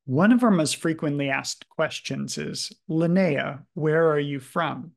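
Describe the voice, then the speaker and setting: A man reads audience questions in an easygoing, conversational tone. His voice sits a little high for a man, with a gravelly fry running through it. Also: unhurried. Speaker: video producer.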